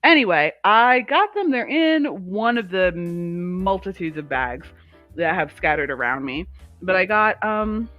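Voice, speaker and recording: A woman's voice: lowish voice, animated, a little slow, dry recording, muffled recording, soft background music. A woman co-hosting a livestream, chatting casually about crafts in a rambling, easily sidetracked way. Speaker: livestream co-host.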